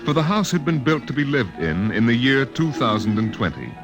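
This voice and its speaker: A man narrating a scripted story dramatically, in a measured literary storytelling manner. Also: mid-range voice, big pitch swings, music in the background.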